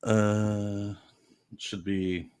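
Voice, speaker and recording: A man's voice: lowish voice, level tone, extremely slow, darkish tone, clear, resonant tone. A man chatting casually on a livestream about a launch, rambling with lots of fillers and correcting himself. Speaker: launch commentary streamer.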